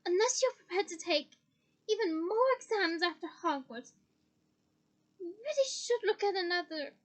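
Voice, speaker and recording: A woman voicing a fussy, simpering older female official, spiteful and shrill, in a storybook reading. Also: animated, moderate pace. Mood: cold.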